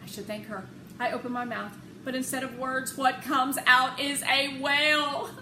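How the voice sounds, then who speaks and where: A woman narrating a children's novel aloud in an expressive storytelling style. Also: high voice, measured pace. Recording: echoey room with clear background noise.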